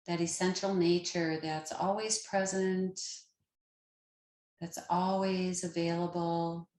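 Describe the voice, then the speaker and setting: A woman with a slightly low voice guides a meditation in a very slow, unhurried way. She is calm and gentle, leaving long pauses between phrases. The sound is roomy, with a clear echo around her words. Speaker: meditation guide, yoga teacher.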